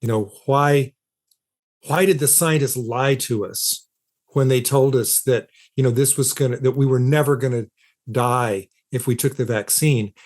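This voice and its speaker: A man's voice: medium pitch, unhurried, darkish tone. A man speaking as an interview guest, explaining ideas conversationally and repeating phrases for emphasis. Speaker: interview guest, philosopher of science and author.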